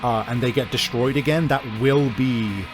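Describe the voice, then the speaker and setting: A man runs a horror tabletop game as game master. He improvises vivid narration with hesitant pauses in a medium-pitched voice, and faint guitar music plays behind him. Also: low-quality recording.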